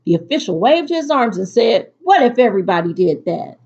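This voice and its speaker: A woman teacher reading aloud to students in an expressive, instructive storytelling delivery. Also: on the high side, fairly quick. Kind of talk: picture-book read-aloud for a virtual class. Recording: dull, lo-fi recording.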